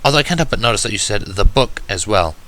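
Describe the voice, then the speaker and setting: A man's voice: on the low side, lively intonation, quick pace, sharp tone. A man voicing a curious, persistent, bookish woman with a precise, questioning manner in a story reading. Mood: inquisitive.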